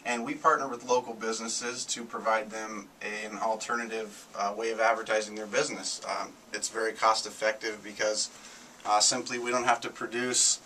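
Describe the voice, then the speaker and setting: A man's voice: on the low side, little pitch movement, moderate pace, bright, forceful tone. An American man speaking as a guest on a radio show, explaining a business service in a matter-of-fact, unscripted way. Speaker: guest, local advertising business representative. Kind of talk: unscripted explanatory interview talk. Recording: noisy, distant-sounding radio show capture.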